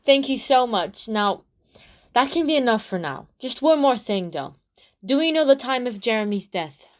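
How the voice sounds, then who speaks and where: A woman playing a brisk investigator. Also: fairly high pitch, very animated. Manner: brisk, probing questions. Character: inquisitive woman investigator questioning villagers. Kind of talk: amateur audio drama acting.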